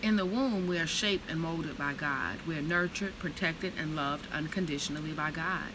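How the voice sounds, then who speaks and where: A woman in her thirties reads slowly and reflectively from a book, then slips into blunt, profane, casual storytelling. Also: low-pitched, noisy background. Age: 30s. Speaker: vlogger reading from a book.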